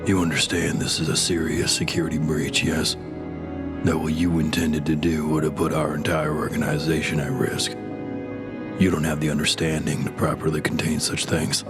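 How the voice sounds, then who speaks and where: A man's voice: a bass voice, monotone, moderate pace, over loud music. A man playing a grave senior military officer in a science fiction audio drama, severe and lecturing. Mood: stern.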